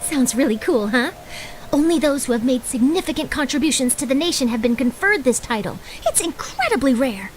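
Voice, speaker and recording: A woman's voice: high-pitched, lively intonation, fairly quick, a little hiss or hum, rough recording. A woman voicing an eager, nosy young female newspaper reporter in a video game, bubbly and theatrical in her delivery. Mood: persuasive, gushing. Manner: bubbly, dramatic, gushing. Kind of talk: animated video game voice acting. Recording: game music behind the voice.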